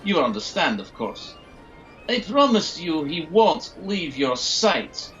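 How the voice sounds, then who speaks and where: An actor playing a menacing doctor villain in an audio drama, theatrically courteous and falsely polite. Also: male voice, a little high, big pitch swings, slow, a bit bright, highs cut off, full character voice. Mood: silky, insistent. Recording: music under the voice.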